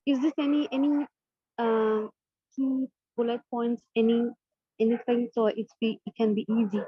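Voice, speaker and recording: A woman student on a video call reading haltingly and asking for help remembering words. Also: on the high side, fairly even intonation, slight background noise. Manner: hesitant, self-deprecating, halting. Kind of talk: reading aloud in class. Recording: dull dry call audio.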